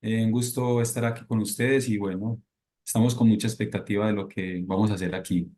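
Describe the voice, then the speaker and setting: Man guest with a dark, mellow voice. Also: fairly low pitch, steady tone, brisk. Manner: polite, grateful.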